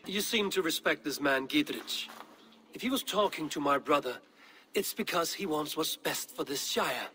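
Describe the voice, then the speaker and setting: Blunt, forceful Viking warrior. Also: male voice, a little high, lively intonation, moderate pace. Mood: firm, persuasive. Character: bold, blunt Viking warrior, brother of a chieftain. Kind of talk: dramatic game cutscene acting.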